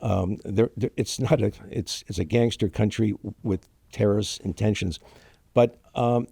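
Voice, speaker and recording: An older man, born in Germany to Ukrainian parents with Ukrainian as his first language, telling his family story in a halting, emotional way with many fillers and restarts. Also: lowish voice, fairly even intonation, vocal fry, dark tone, husky, no room sound, studio quality. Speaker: guest. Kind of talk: interview, personal story.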